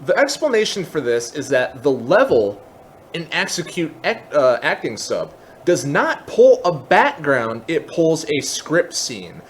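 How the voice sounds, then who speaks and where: A man hosting a software tutorial, explaining step by step in a methodical way. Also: a little high, sing-song, a little slow, a little hiss or hum. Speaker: tutorial host.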